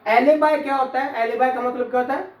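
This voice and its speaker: A man's voice: very high-pitched, steady tone, fairly quick, faint music behind. A man with a Pakistani Punjabi accent lecturing on law to a class, repeating key phrases and prompting students with questions. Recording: echoey, reverberant room.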